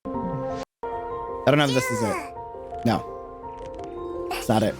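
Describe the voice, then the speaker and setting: A man's voice: mid-range voice, takes it slowly, music in the background. A man giving casual, slangy livestream reaction commentary, thinking aloud as he goes. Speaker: reaction streamer.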